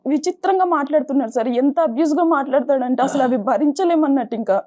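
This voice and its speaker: A woman's voice: sits high, quick pace, warm tone, faint music behind. A young woman, deferentially giving a personal account as a guest on a counselling talk show. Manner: deferential, often saying sir. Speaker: guest telling of marital troubles.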